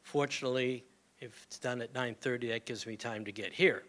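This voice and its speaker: An older man shares practical health advice with a community gathering in a plain, conversational way. His voice sits at an ordinary middle pitch. He speaks through a microphone in a hall. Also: fairly quick, fairly bright tone. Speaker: community moment presenter. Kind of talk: informal health talk to a gathering. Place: meeting hall with audience.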